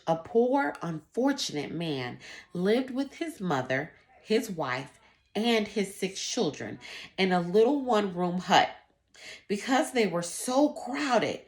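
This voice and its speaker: A woman schoolteacher reading aloud and teaching children, instructive and emphatic, addressing them directly. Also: mid-range voice. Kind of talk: children's read-aloud lesson.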